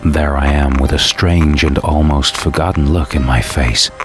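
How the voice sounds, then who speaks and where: A man's voice: very deep voice, steady tone, unhurried. A man playing a gritty, bitter video game antihero with a sardonic, brooding delivery. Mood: serene wonder. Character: gritty, bitter, foul-mouthed male mortal antihero seeking redemption. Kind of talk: brooding first-person cutscene narration and dialogue. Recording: dramatic music behind the voice.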